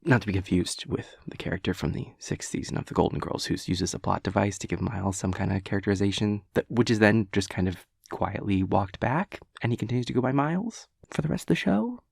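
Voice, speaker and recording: A man playing a chaotic, nervous, evasive boyfriend in a roleplay audio, stammering and rambling with halting hesitations. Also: fairly low pitch, expressive, vocal fry, breathy edge, no echo, clean studio sound, exaggerated voice. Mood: rambling, pedantic. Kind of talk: improvised-sounding roleplay audio monologue.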